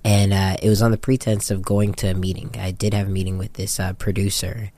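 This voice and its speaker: American man, low-pitched, dry close sound, casual slangy interview storytelling, candid director. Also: flat delivery, fairly quick, dark tone, no room sound. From Japan. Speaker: interviewed music video director and photographer.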